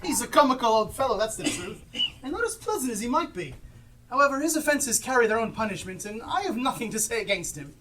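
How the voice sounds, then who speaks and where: A woman on stage playing a cheerful, forgiving young gentleman in a live amateur play, picked up by a distant mic. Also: a little high, sing-song, fast talker, a little hiss or hum. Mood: amused.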